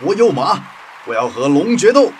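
A man's voice: on the high side, big pitch swings, normal speed, background noise. A man playing a boastful, declamatory knight in a children's radio drama. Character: boastful knight with sword and horse, easily beaten. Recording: loud music and crowd behind.

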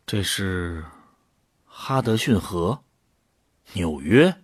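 A man's voice: low voice, expressive, drawn-out words, husky, no echo, clean studio sound, full character voice. A man playing a sceptical commando recruit who asks blunt questions in an audio drama. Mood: hesitant.

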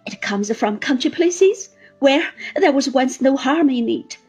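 Woman reading as a despairing young woman, sobbing, high-pitched and swooping, light music behind. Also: lively intonation, unhurried, slightly rough, exaggerated voice. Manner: sobbing, anguished, high and loud.

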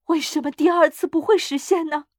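A woman's voice: sits high, fairly even intonation, brisk, no room sound, clean studio sound, voice pushed up, lightly acted. A woman voicing a grief-crazed, fiercely demanding old wife in a frantic, breathless storybook performance. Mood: fanatical. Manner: shrieking, breathless, frantic urging.

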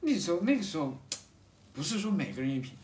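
A man's voice: a little high, very animated, fast talker, a little mellow, slight background noise. A man in a casual, reminiscing podcast chat, rambling and repeating phrases as he explains. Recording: roomy echo, off-mic, music behind.

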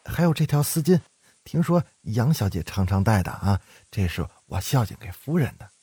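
A man's voice: lowish voice, big pitch swings, moderate pace, warm tone, slightly rough, no room sound, exaggerated voice. A man voicing a flustered servant in an audio story, stammering and obsequious toward his superior. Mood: ingratiating. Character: fawning, flustered male underling servant.

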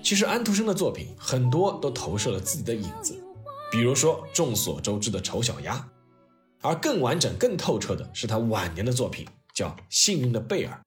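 A man hosting a history storytelling podcast, reading scripted narration in an expressive, reflective way with rhetorical questions. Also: mid-range voice, brisk. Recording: music playing behind the voice. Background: soft piano and orchestral music.

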